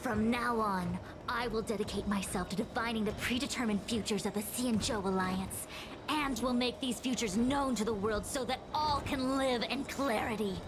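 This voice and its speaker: An actress playing an imperious seer possessed by a spirit, coldly fatalistic and condescending, in dramatic video game style. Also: female voice, on the high side, moderate pace, noisy background, natural voice. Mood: lofty certainty. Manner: declamatory, condescending.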